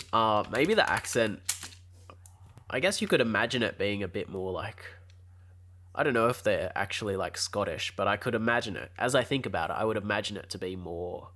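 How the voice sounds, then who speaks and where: A man's voice: fairly low pitch, a bit bright. A man co-hosting a podcast in a casual, laid-back conversational way. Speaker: podcast co-host.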